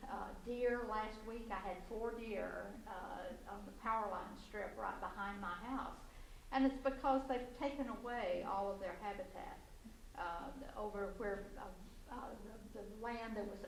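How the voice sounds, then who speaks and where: A woman with a loud, gravelly voice speaks up at a council meeting, stopping and restarting her sentences often. She sounds set back from the mic in an echoey community room with faint noise underneath. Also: mid-range voice, distant mic. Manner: halting, many fillers and restarts, light laughs. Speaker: resident giving public comment. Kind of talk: public comment at a city council meeting.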